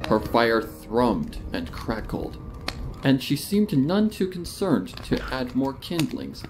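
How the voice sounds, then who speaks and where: A man reading a dark story aloud as a narrator, in a dramatic scripted style. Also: medium pitch, very animated, slow, over music, rough recording.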